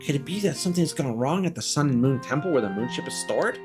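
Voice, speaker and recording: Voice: medium pitch, animated, fast, music in the background, natural voice. A streamer voicing a fretful game villager who guards a temple, speaking dramatically and with worry. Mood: worried. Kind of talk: reading game dialogue aloud.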